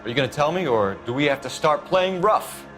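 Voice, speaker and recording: An actor playing a formal, clipped 1940s police detective questioning witnesses in a game cutscene. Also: male voice, on the high side, animated, fairly quick, music in the background, close to an everyday voice. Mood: tense. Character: stiff, earnest male 1940s police detective. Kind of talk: video game cutscene acting.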